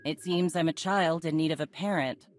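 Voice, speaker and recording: A woman's voice: deep voice, normal speed. An actress playing a tough, dry-witted female Mandalorian leader in a video game, assured and wry. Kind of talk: video game cutscene acting.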